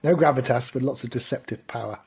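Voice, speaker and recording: A man's voice: medium pitch, quick pace, gravelly, a little mellow, slightly rough. A man co-hosting a podcast, chatting casually and telling anecdotes. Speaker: podcast co-host.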